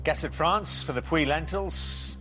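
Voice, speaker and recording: A man's voice: a little high, bright, forceful tone, faint music behind. A man hosting a TV quiz show, reading out questions and bantering lightly with contestants. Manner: brisk, reads questions clearly, light banter.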